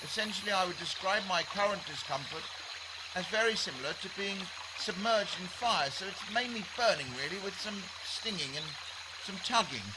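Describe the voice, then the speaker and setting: A man's voice: high-pitched, animated, normal speed, noisy background. A man playing a meek, very polite field assistant, hesitant and understated in a radio comedy drama. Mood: uncomfortable, restrained.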